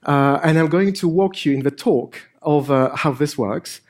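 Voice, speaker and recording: Male conference speaker, middle-aged, French accent with a Polish hint, slightly high voice, informal, hesitant delivery with dry humour. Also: moderate pace, darkish tone. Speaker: conference speaker, AI researcher and improviser. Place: on stage at a conference.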